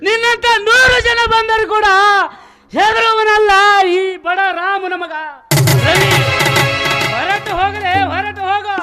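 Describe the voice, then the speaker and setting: Guy playing proud poor young brother in a village play, really high voice, declaiming hard, loud music all over. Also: fairly even intonation, slow pace. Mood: defiant, angry. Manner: declamatory, emphatic, repeating phrases for effect. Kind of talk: melodramatic Kannada stage drama. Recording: stage recording drowned in loud music.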